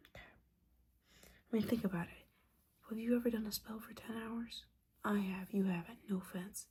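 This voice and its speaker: A woman playing a disappointed, judgmental but caring witch mentor in a whispered, softly spoken ASMR roleplay, scolding with sassy, casual asides. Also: mid-range voice, fairly even intonation, moderate pace, husky.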